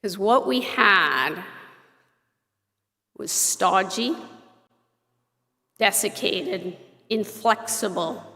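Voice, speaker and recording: A woman from Ontario, a director presenting to a meeting in a lively, emphatic way with touches of humor. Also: mid-range voice, extremely slow, long pauses. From Ontario, Canada. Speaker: association director presenting an insurance program. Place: meeting hall at an airport. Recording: roomy hall reverb.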